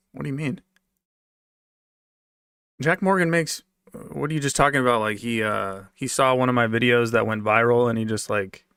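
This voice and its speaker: Male livestreamer, American, casual and repetitive, mid-pitched with vocal fry, dry sound. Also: a bit bright, no echo. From United States, raised moving around in a military family. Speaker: livestream host answering viewer comments. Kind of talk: casual off-the-cuff chat with viewers.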